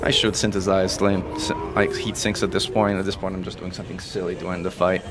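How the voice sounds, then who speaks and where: A man from Italy whose first language is Italian, giving excitable live commentary as he plays, talking through each move. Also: deep voice, a little fast, music in the background. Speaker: streamer and commentator.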